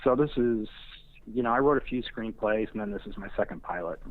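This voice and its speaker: A male screenwriter guest giving casual interview answers over a phone, halting and unassuming. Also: lowish voice. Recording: tinny phone-like remote line.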